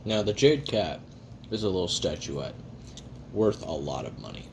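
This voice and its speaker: Man playing a low, gravelly, weary assassin. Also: sing-song, slight background noise, full character voice. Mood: blunt, irritated. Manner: halting, sardonic, casually profane, talking while eating. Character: weary, jaded male assassin recording private memoirs. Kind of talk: first-person confessional audio roleplay monologue.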